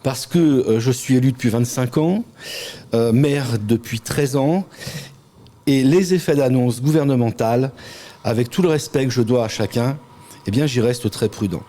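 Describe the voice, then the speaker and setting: Mellow-voiced man, halting political interviewee. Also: mid-range voice. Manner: measured, hesitant phrasing with frequent pauses. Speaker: local elected official, mayor, interview guest. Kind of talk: interview answers, political talk.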